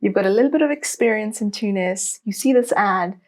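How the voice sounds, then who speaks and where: A woman hosts a podcast, asking questions in an encouraging way. She backs up her guest with short replies such as "wonderful" and "absolutely". Her pitch sits in the middle for a woman. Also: a little fast. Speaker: podcast host. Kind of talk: interviewing.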